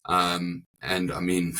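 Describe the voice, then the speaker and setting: A man's voice: low voice, sharp tone. A man with an English South African accent, a family insurance broker speaking thoughtfully in an interview and often repeating words as he builds his point. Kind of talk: interview conversation.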